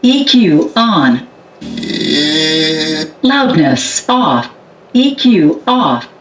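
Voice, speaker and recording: Distant automated woman's voice prompt, loud music. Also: mid-range voice, very animated, drawn-out words. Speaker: voice prompt of a car radio. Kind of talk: automated short setting announcements. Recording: distant device speaker under loud music.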